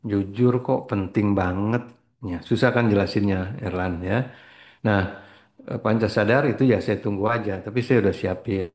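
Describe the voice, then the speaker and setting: A middle-aged man gives a casual motivational talk as a spiritual trainer. He rambles, mixes in English words and keeps clearing his throat. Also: lowish voice, steady tone, normal speed, a little mellow.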